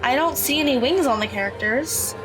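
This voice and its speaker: A woman's voice: sits high, fairly quick, lightly acted, low-quality recording. An actress playing an earnest teenage girl who is new to magic, asking plain, curious questions in an audio drama. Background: background music.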